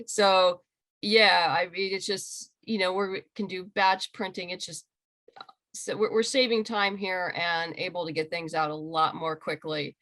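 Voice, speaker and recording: A middle-aged woman speaks as a guest in a conversational interview over a video call. Her voice sits a little low for a woman. She talks informally and chattily, with a self-deprecating touch. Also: little pitch movement, unhurried, a bit bright. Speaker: interview guest, comic book creator and writer.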